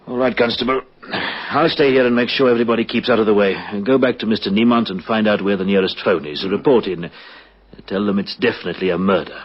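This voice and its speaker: An actor playing an experienced police sergeant in a radio drama, measured, matter-of-fact and authoritative. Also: male voice, on the low side, breathy edge. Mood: grim, matter-of-fact. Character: experienced, wary male police patrol sergeant. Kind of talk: vintage radio drama acting. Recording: dull old radio recording, faint noise.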